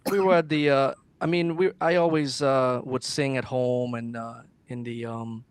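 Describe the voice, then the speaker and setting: A middle-aged man from Guyana, a singer talking about himself as a guest in a casual interview, halting with many ums and uhs. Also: mid-range voice, steady tone, clean tone, no room sound.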